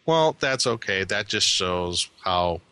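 A man co-hosting a podcast, talking casually with sarcasm and halting fillers. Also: deep voice, animated, bright, forceful tone, smooth. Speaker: podcast co-host.

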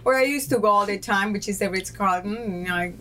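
A middle-aged woman from Peru, a guest telling stories in lively casual chat. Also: mid-range voice. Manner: animated storyteller, quick laughs.

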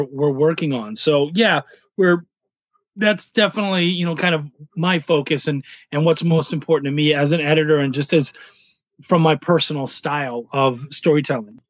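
A man's voice: fairly high pitch, no echo. A man speaking casually as a writer and editor being interviewed on a podcast, in slightly dull, lo-fi sound.

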